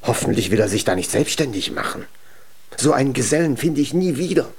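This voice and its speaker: An actor playing a middle-aged dwarf blacksmith, a gruff, hearty and domineering father in a children's radio play. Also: medium pitch, big pitch swings, fairly quick, a little mellow. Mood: indignant, proud. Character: blustery, ambitious dwarf blacksmith, father of a grown daughter.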